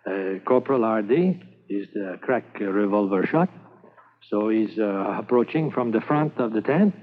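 An actor playing a fearless, charming French Mountie tracker with a French accent, speaking halting broken English full of hesitations in a 1950s radio drama. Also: male voice, on the low side, a little hiss or hum, natural voice. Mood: planning. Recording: thin phone-like old radio transcription.

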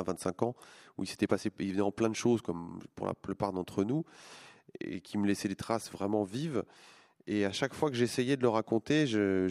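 A man's voice: fairly low pitch, darkish tone. A man in his forties, a comic book artist, answering interview questions in a hesitant way, with many restarts and fillers.

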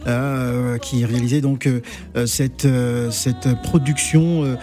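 A man's voice: mid-range voice, steady tone, takes it slowly, darkish tone, soft background music. Hesitant radio host, man. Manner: hesitant, full of "euh" fillers, playful. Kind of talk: casual radio interview.

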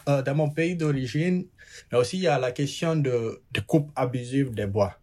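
A young man in his twenties from Guinea, a student and youth climate ambassador, speaking earnestly and a little haltingly. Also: mid-range voice, darkish tone. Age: 20s. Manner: earnest, halting, with fillers and repeated words. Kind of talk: interview testimony.

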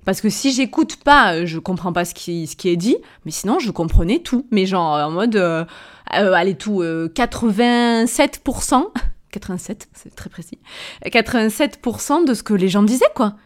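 A woman's voice: mid-range voice, expressive, normal speed. Chatty rambling woman, southern French accent. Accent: southern French, Avignon. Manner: chatty and rambling, full of hesitations, self-corrections and brief laughs; addresses the listener familiarly. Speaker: podcast host, entrepreneur. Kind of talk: casual personal storytelling monologue.

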